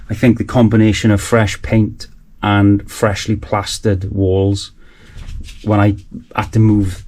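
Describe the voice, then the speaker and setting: A man in his forties talks to camera in an excited, rambling way. His voice sits fairly low. He is recording in a small sound-proofed home studio. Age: 40s. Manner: enthusiastic, rambling. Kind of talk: casual chat to camera.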